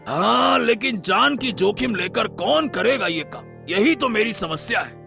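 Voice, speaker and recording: A man acts a cartoon folk-tale king, anxious and courteous. He speaks slowly and theatrically in a fairly high, gravelly voice over soft music. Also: animated, full character voice. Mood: worried. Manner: drawn-out, theatrical. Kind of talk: animated Hindi moral-story voice acting.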